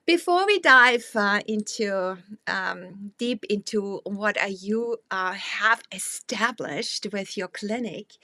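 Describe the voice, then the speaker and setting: Bright-voiced woman hosting an interview. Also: mid-range voice, slow, hardly any pauses, no room sound. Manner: reads intro formally, hesitant with fillers when asking. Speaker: host. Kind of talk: interview introduction and questions.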